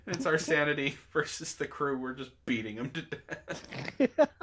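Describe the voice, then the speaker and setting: Animated, giggly man with unhurried delivery. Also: a little high. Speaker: online show host reading out chat messages and memes. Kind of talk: casual group chat, reading aloud and reacting to memes.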